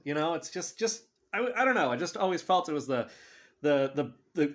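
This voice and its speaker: A man's voice: fairly high pitch, natural voice. A man playing an earnest, rambling attendee at an online group session, stammering and repeating himself in a naturalistic audio drama. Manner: halting, stammering, repetitive.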